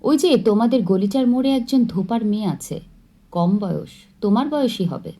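A woman's voice: mid-range voice, expressive, moderate pace, warm tone, voice pushed up. Woman voicing a teasing, mock-grave young man. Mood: mock-serious.

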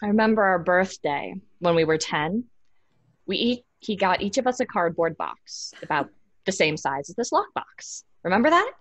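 An actress playing a sardonic, impatient young twin sister in an audio drama, with a dry, sarcastic manner. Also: female voice, lowish voice, very animated, normal speed, dry recording. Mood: reminiscing.

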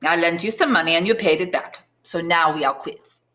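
A woman's voice: lowish voice, a little fast, bright, forceful tone. A woman voicing a smug, grinning young male tailor in an audiobook, breezy and self-satisfied. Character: smug grinning tailor neighbour, man of twenty-five. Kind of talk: audiobook character dialogue.

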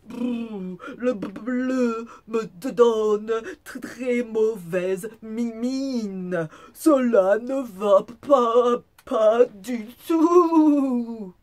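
A woman voicing a big, grumpy, vain male wolf from a children's storybook, with loud, exclamatory, theatrical complaining. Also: a little high, drawn-out words, voice pushed up. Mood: shivering, miserable.

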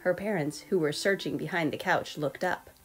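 A woman reading a storybook aloud for young children, expressive, measured and clearly phrased. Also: lowish voice. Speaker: storybook reader. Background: soft background music.

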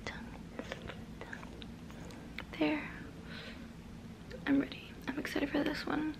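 A woman in her twenties doing a whispered ASMR roleplay, breathy and very close to the mic, softly repeating words. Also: high-pitched, completely flat delivery, low-quality recording. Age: 20s. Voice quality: breathy, mostly unvoiced whisper. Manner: soft, halting, repeats words slowly. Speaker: ASMR creator. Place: small bedroom, indoors. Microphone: very close to the microphone.

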